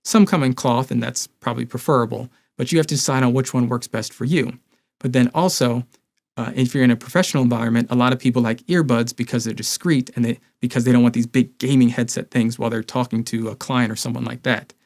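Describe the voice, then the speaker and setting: A man's voice: medium pitch, fairly even intonation, fast talker, creaky, a little mellow. A man in his thirties presenting a scripted explainer to camera as a review host. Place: small indoor home studio.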